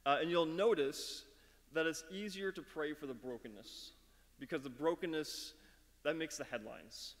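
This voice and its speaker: A middle-aged man leading a school chapel talk and prayer from a lectern, speaking in a measured, earnest way with some halting fillers. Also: fairly high pitch. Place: dark auditorium stage at a lectern. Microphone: mic on the lectern. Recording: long hall reverb.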